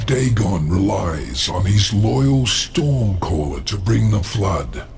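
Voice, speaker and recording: A deep creaky ominous otherworldly being for a game, slow and portentous, faint noise behind. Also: male voice, sing-song, a little mellow, heavily acted. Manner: deliberate, portentous. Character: ominous, grave male otherworldly informant. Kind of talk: game cutscene dialogue.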